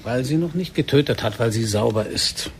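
An actor in a German radio drama playing a curt, analytical intelligence agent who explains things with firm certainty. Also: male voice, mid-range voice, normal speed, close to an everyday voice. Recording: faint background ambience noise.

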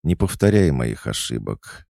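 A man's voice: extremely low pitch, big pitch swings, a little slow, creaky, mellow, no echo, studio quality, full character voice. A man voicing a lonely old witch woman in an audiobook, speaking in a sighing, sorrowful way. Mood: rueful.

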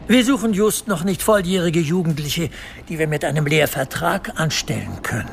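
An actor playing a jovial, self-important personnel manager in a radio drama, speaking with patronising formality. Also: male voice, fairly high pitch, expressive, moderate pace, gravelly, slight background noise. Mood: patronising, probing.

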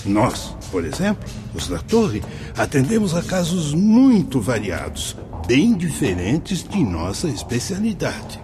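An actor playing a genial priest who directs a spirit-world watchtower in a radio drama, courteous and explanatory, with a Brazilian accent. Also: male voice, a little high, huge pitch swings, slow pace, full character voice. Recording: music behind the voice.

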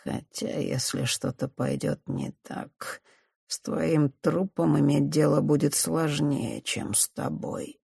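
Woman voicing a slow, menacing male villain. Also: deep voice, gravelly, warm tone, slightly rough, dry recording, studio quality, full character voice. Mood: quietly menacing, musing. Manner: slow, drawling, growling when angered. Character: cold menacing male circus director, musing unhurriedly on threats.

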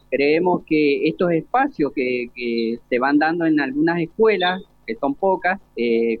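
Argentine guy, municipal official being interviewed, kinda high voice, sounds like over a phone. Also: dry recording. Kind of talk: unscripted interview answer. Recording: thin phone-like radio sound.

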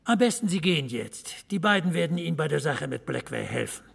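A man playing a blunt, commanding leader of a small-town men's club, dry and matter-of-fact, with a husky, gravelly voice in an audio drama. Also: a little high, expressive, fairly quick, a bit bright, full character voice. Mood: brisk, directing. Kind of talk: naturalistic German audio drama acting.

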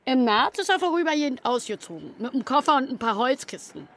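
An older Berlin landlady of the 1910s, a woman with a high voice that rises and falls freely. She is nosy, chatty and old-fashioned in her disapproval, as heard in a radio drama. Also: sing-song, quick pace, fairly bright tone, heavily acted. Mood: chatty, concerned.

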